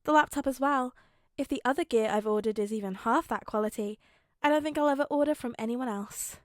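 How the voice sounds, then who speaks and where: A smug teenage boy strategist, played by a woman in a story reading. The voice is fairly high and sweetly polite. He stays composed, and the sound is dry. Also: fairly quick, no echo, close to an everyday voice. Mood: pleased, polite.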